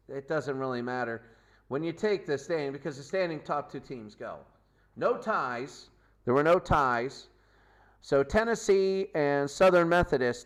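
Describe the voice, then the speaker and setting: A man hosting a football show, giving a loose, rambling recap of game results and stats, often correcting himself mid-sentence. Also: mid-range voice. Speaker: sports show host. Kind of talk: unscripted football recap commentary.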